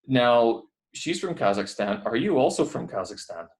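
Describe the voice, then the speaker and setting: A man's voice: medium pitch, very animated, moderate pace. A man from Canada hosting a podcast interview over a video call, chatting casually and rambling with lots of fillers. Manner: rambling, filler-heavy, friendly. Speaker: podcast host. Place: building away from home. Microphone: headphones on a video call.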